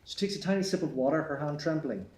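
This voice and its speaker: A man reading stage directions aloud at a live table read, even and matter-of-fact, in an echoey theatre. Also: a little high, quick pace. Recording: echoey hall.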